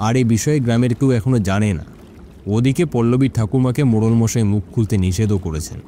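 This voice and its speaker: A man's voice: medium pitch, quick pace, mellow, a little hiss or hum, lightly acted. A man playing an impatient young village fisherman in a cartoon, coaxing and insistent. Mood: confiding. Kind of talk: Bengali cartoon story dialogue.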